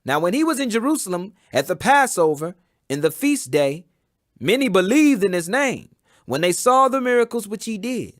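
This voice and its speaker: A man's voice: high voice, sing-song, moderate pace, a bit bright, no room sound, studio quality. A man reading scripture aloud as a dramatic audiobook, solemn and emphatic in delivery. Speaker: scripture reader.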